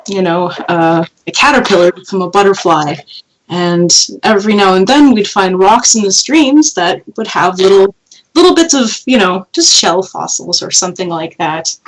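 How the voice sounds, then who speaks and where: A woman from northern Quebec talks about her past at an unhurried, reflective pace. Her voice sits in an ordinary middle register, and she often pauses, backtracks and fills gaps as she thinks. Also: mid-range voice, low-quality recording. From Canada, northern Quebec. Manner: thoughtful, with many fillers and restarts. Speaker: guest paleoartist. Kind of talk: relaxed storytelling on a podcast.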